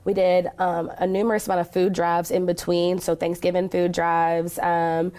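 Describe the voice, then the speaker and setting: Earnest American woman, slightly low voice. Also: flat delivery, moderate pace, mellow, smooth. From Kentucky, United States. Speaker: interview guest, community advocate. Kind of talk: conversational interview answers.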